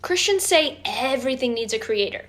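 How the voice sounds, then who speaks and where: Female presenter, on the higher side in pitch, scripted and argumentative delivery. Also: animated, moderate pace, a bit bright, slight background noise.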